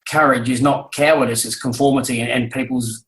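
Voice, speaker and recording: A man's voice: medium pitch, fairly even intonation, a little fast, fairly bright tone. A man interviewing casually, complimentary and a little hesitant as he phrases things. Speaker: guest interviewer. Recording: roomy, echoing sound.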